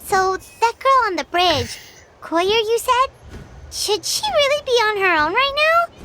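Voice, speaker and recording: A woman voicing a small, childlike fairy companion in a video game, squeaky, curious, earnest and anxious, with expressive delivery. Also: measured pace, slight background noise, full character voice. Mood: worried. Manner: expressive, inquisitive. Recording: game music behind the voice.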